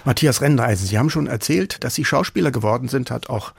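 A man's voice: mid-range voice, fast, vocal fry, husky, no echo, studio quality. A man hosting a broadcast interview, introducing his guest and putting questions. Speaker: radio host.

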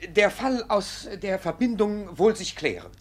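An actor playing a sly, deferential village clerk, politely insinuating, in a classic radio comedy. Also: male voice, high voice, big pitch swings, unhurried, exaggerated voice. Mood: sly deference.

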